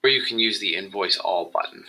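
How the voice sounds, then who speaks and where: A man with a lowish voice and a very bright, forward tone narrates an instructional screencast. He explains things step by step in a measured way, as a presenter guiding viewers through software. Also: a little hiss or hum, poor audio.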